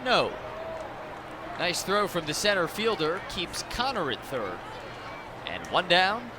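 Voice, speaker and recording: A man's voice: high voice, big pitch swings, slow. A young American man doing live baseball play-by-play and chatty interviewing as a broadcaster. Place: TV broadcast booth at an outdoor ballpark. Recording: noisy outdoor ballpark, crowd behind. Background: crowd and stadium ambience.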